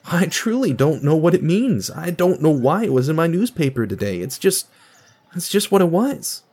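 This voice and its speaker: A man acts out an awkward, burnt-out office worker in a radio play. His pitch moves around a lot as he confides earnestly and hesitantly, quick to apologize. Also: sing-song, darkish tone, slightly rough, heavily acted. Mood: earnest, uneasy. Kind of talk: audio drama acting.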